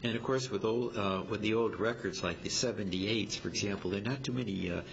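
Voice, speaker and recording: A man speaking haltingly and hesitantly as he gives an informal technical explanation at a club meeting. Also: creaky. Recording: dull, noisy amateur meeting recording with rumble.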